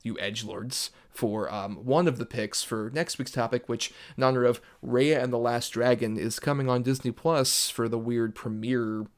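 A man talks as a podcast co-host with a medium-pitched voice. He keeps things casual and conversational. His manner is playful and jokey, as in easy banter between co-hosts. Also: steady tone, moderate pace, few pauses. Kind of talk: casual movie podcast chat.

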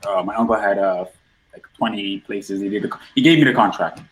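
A man's voice: fairly low pitch, a little fast, rough recording. Guyana-born man chatting as a show guest. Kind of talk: casual chat.

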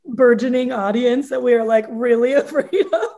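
A woman's voice: on the high side, normal speed, warm tone. A woman co-hosting a casual podcast, joking around with lots of "like"s and restarts. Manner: joking, with many likes and restarts. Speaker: podcast co-host. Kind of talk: casual chat.

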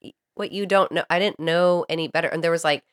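Low-pitched American woman chatting candidly. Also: fairly even intonation, fast talker. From Saudi Arabia, raised by a US family on a compound. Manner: informal, candid, sweary. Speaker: podcast co-host. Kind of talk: casual chat, personal storytelling.

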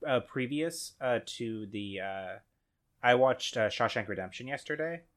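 A man co-hosting a podcast in casual comedic chat, halting and brainstorming with many fillers. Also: medium pitch, animated, fairly bright tone. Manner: halting, lots of ums and likes, brainstorming. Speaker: podcast co-host.

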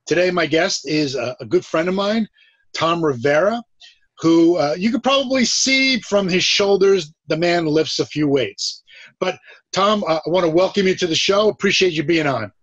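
A man hosting a conversational interview podcast, with a husky voice. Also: high voice, animated, normal speed, fairly bright tone, dull audio. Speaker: podcast host.

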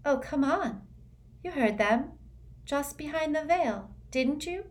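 A woman's voice: high voice, lively intonation, takes it slowly, pitched above the performer's own voice. A woman doing a dreamy, airy teenage witch girl. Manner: airy, matter-of-fact, lilting.

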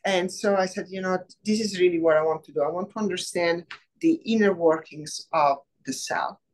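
A middle-aged woman from Rome with an Italian accent, a professor speaking in a casual interview, thoughtful with many pauses. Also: on the low side. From Rome, Italy. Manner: warm, thoughtful, many "um" pauses, laughs easily. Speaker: biology professor, guest.

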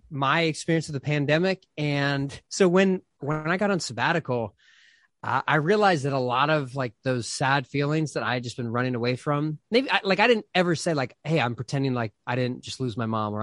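A man's voice: on the high side, a bit bright, no room sound, studio quality. A pastor and author in his thirties talking casually in an interview, rambling with lots of fillers and restarts.

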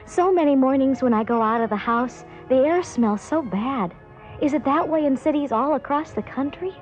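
A woman's voice: high-pitched, animated, moderate pace, a little mellow. A woman making a plain-spoken appeal in a public service announcement. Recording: dull lo-fi radio spot over music. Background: music.

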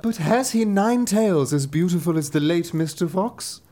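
A man's voice: sits high, animated, moderate pace, mellow, voice pushed up. A man doing a haughty widowed vixen.

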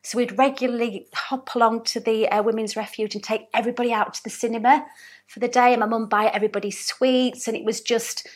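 A woman's voice: on the high side, steady tone, fairly quick. A woman with a Yorkshire accent, a business owner telling her life story as an interview guest in a chatty storytelling manner. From South Yorkshire, England. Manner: chatty, warm storytelling with frequent ums. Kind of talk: relaxed interview conversation.

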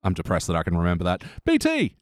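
A man's voice: sits low, huge pitch swings, fast, no echo, clean studio sound. A man hosting a podcast, giving his opinions in casual, conversational review chat. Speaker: podcast host.

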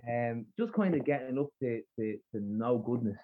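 A young man with a rough north Dublin accent, a podcast guest chatting casually and rambling with plenty of fillers and restarts. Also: mid-range voice, lively intonation, moderate pace. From Dublin, Ireland. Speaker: podcast guest, spoken word poet. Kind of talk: casual interview chat. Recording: husky voice over a tinny remote line.

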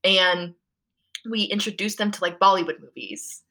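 A woman's voice: medium pitch, normal speed, bright, forceful tone. A young woman talking as a podcast guest in a casual interview, chatty and full of "like" and "um" fillers.

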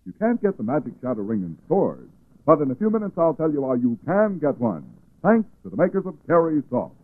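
A man with an American accent, announcing and pitching the sponsor in punchy, urgent 1940s radio style. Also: wildly swooping pitch, a little fast. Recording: tinny 1940s radio recording.

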